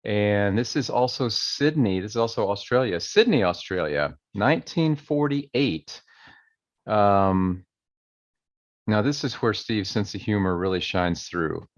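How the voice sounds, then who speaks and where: Relaxed man with a lowish voice. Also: no echo. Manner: reads quoted passages slowly and emphatically; relaxed, conversational, with fillers. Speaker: channel host. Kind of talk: casual commentary reading old newspaper articles. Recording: dry, dull lo-fi audio.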